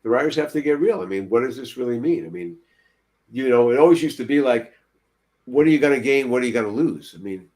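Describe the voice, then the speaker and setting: A man's voice: mid-range voice, lively intonation, quick pace, darkish tone. An older man of about seventy co-hosting a casual panel chat over a video call, rambling and repeating himself. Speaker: podcast co-host. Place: room at home, indoors.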